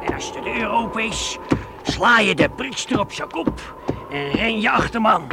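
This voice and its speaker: A gravelly, gruff mercenary. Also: male voice, sits high, animated, unhurried, loud music behind the voice, heavily acted. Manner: barking orders, gruff. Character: rough male mercenary leading a raid. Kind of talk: radio play acting.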